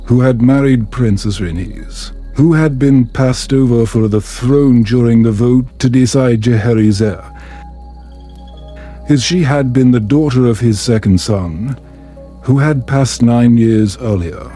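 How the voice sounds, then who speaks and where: A man reading a scripted bedtime story as a narrator, in a calm, measured, soothing way. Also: medium pitch, soft background music.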